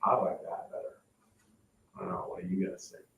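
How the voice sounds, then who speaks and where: A man on a board, asking questions and thinking out loud in an informal meeting discussion. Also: low voice, fairly quick, gravelly, in a whisper. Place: indoor public meeting room. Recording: echoey room, distant mic, faint noise.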